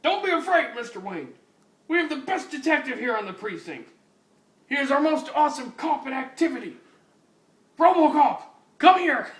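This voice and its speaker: A man's voice: very high voice, sing-song, moderate pace, long pauses, a bit bright, pitched above the performer's own voice, full character voice. A man voicing a flustered, fawning police commissioner in an over-eager, stammering dramatic reading. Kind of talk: exaggerated amateur dramatic reading. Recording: roomy, distant mic with hiss.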